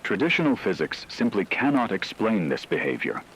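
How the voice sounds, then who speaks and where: A man playing a grave radio news anchor in an apocalypse drama, speaking in a measured, formal way. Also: lowish voice, wildly swooping pitch, fairly bright tone, highs cut off, slight background noise, heavily acted. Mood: grave, ominous. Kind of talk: scripted radio news bulletin.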